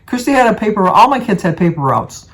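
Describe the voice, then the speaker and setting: A woman's voice: medium pitch, very animated, fast talker. A woman, chatting casually to her viewers and rambling through everyday stories. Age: 70s. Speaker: vlogger.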